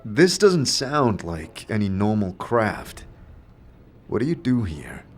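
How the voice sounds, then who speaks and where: A man's voice: on the low side, sing-song, slow pace, darkish tone, heavily acted. A man playing a puzzled, world-weary wanderer in a fantasy audio drama, hesitant and questioning in his delivery. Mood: weary, skeptical.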